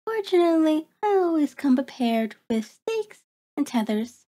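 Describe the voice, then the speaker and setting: A woman's voice: high voice, sing-song, moderate pace, warm tone. A woman playing a cruel, predatory vampire in an ASMR horror roleplay, taunting and haughty, savoring words in formal diction. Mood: smug. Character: cruel, predatory female vampire toying with her captive.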